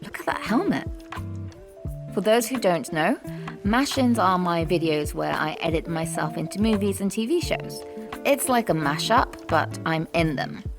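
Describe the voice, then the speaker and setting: A woman vlogger answers questions in a casual, lightly scripted way. Her voice has some creak and sits at an average pitch, with music clearly playing behind it. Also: expressive, unhurried. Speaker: vlogger and animator hosting a Q&A.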